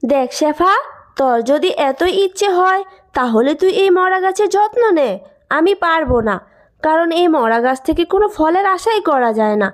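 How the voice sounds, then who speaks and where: A woman's voice: high voice, lively intonation, normal speed. A woman voicing a greedy, scornful village sister-in-law in a cartoon moral story, brusque and scolding. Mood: dismissive. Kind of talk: animated moral story acting.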